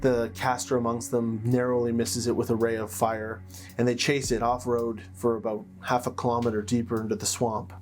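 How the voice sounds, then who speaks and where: A man hosting as a game master, narrating fantasy lore and story like a measured storyteller with dramatic pauses. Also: medium pitch, level tone, a little mellow. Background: plucked guitar music.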